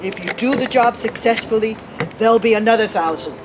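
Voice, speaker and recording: A man's voice: sits high, fairly quick, natural voice. Man acting anxious father, formal official, earnest, polite, old radio drama, hissy noisy recording. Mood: anxious, pleading. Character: worried, formal father, a parole board chairman.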